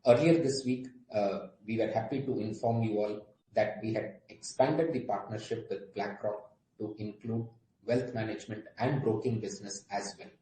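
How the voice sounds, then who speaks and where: Mellow Indian businessman in an echoey room. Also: fairly low pitch, normal speed, few pauses. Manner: formal, scripted delivery. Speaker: company executive. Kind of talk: prepared corporate presentation on an earnings call. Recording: roomy echo, faint background noise.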